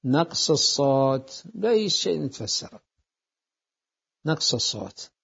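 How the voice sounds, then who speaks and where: Male lecturer, mid-pitched, methodical and repetitive delivery; muffled lo-fi audio. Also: very animated, gravelly, fairly bright tone, breathy edge. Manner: deliberate, repeats phrases to explain. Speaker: seminary jurisprudence teacher. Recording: dull lo-fi lecture recording.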